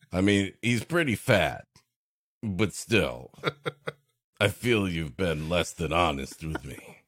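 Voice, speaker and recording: A man with a low voice and very lively pitch swings, riffing comically as a podcast co-host. He takes his time, rambling in mock earnest through improvised banter. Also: drawn-out words, long pauses, dry recording, clean studio sound.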